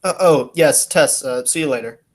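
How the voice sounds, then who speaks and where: A man's voice: mid-range voice, normal speed, a little hiss or hum, close to an everyday voice. A man playing an earnest, puzzled detective hero in the style of a 1940s radio detective play. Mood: distracted.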